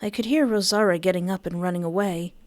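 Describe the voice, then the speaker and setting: A woman's voice: fast, dry recording. A woman reading a visual novel aloud, voicing the narration and the dialogue expressively. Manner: expressive, shifts pitch for each character.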